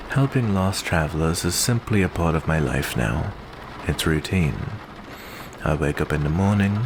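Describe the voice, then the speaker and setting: A man playing a genteel male vampire who addresses the listener with endearments in a soft, close ASMR roleplay monologue. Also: sits low. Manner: unhurried, genteel, uses endearments. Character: courteous, smooth male vampire host with hidden predatory menace. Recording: clear steady background noise.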